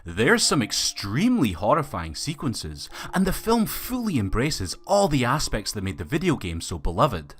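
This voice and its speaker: Man narrating a film review, lively pitch. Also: normal speed, hardly any pauses. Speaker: video reviewer.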